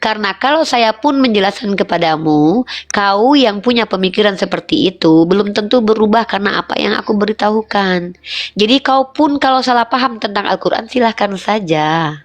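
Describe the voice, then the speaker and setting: A woman hosting a heated live debate, repeating her questions with open exasperation and lecturing in a firm, deliberate way. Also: medium pitch. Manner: exasperated, presses the same question again and again. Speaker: debate host.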